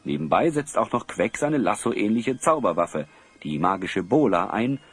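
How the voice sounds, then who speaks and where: A man playing the storyteller of a fantasy audio drama, narrating dramatically from a script with a husky voice. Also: fairly low pitch, very animated, normal speed, soft background music. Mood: dramatic.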